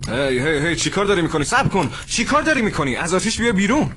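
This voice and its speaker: A man's voice: sits high, very animated, fairly bright tone, a little hiss or hum. Man voicing a fretful, stammering worrier. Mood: alarmed. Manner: fussy, stammering, exasperated. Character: fretful, fussing male friend, easily alarmed. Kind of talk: comedy dub of an animated sketch.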